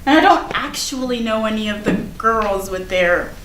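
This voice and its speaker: A woman's voice: a little high, expressive, moderate pace, a bit bright. A woman playing a popular, defensive teenage schoolgirl in a staged play reading, with chatty, casual, hedging delivery. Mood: defensive, flustered. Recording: echoey room from far off, noisy.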